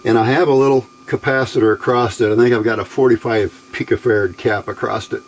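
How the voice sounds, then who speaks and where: A man's voice: mid-range voice, steady tone, quick pace, slight background noise. A man giving a casual, unscripted hands-on demonstration, explaining step by step in a plain, folksy way. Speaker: hobbyist presenter demonstrating electronics test equipment.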